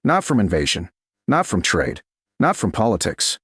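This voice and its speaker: A man's voice: lowish voice, huge pitch swings, normal speed, a bit bright, husky, no echo, heavily acted. A man voicing a guarded, brooding duke who speaks in blunt, clipped sentences.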